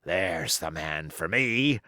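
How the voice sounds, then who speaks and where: A male reader puts on a commanding, crafty pirate sea cook from an adventure storybook. He speaks slowly in folksy sailor grammar, weighing his words, and his pitch rises and dips widely in a dry, close recording. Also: animated, pushed and bright, no echo, heavily acted. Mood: admiring, scheming.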